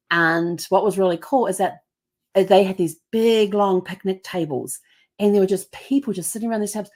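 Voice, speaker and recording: A woman's voice: on the low side, a little mellow. A middle-aged woman from New Zealand with a mixed Kiwi and American accent, telling travel stories in a chatty, rambling way. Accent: mix of New Zealand and American. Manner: chatty, lots of ums and restarts, puts on voices for others. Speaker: host. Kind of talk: casual travel storytelling.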